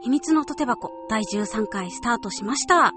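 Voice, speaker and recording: A woman's voice: a little high, animated, moderate pace, over music. A woman presenting a solo radio show, addressing listeners directly in a polite, explanatory way. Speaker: radio show host, voice actress.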